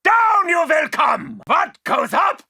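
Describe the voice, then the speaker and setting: An actor playing an eccentric, haughty German mad scientist doctor in a video game, theatrical and grandiose, with a German accent. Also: male voice, very high-pitched, very animated, slow pace, vocal fry, bright, forceful tone, no room sound, raised voice, studio quality, full character voice. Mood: frantic, shrill. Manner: theatrical, grandiose, self-important. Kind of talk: video game character quotes.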